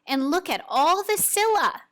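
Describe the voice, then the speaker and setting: A woman reading a storybook, voicing a kindly, patient older man in a gentle, reassuring manner. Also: very high-pitched, very animated, unhurried, pitched above the performer's own voice, exaggerated voice. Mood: delighted. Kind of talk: storybook read-aloud.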